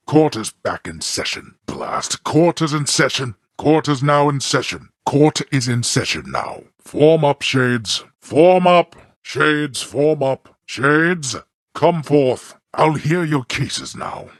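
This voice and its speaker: A male actor voicing a stern, scornful god of the underworld in a video game, with a husky voice and formal, disdainful, imperious delivery. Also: mid-range voice, dry recording, studio quality. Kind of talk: theatrical video game character lines.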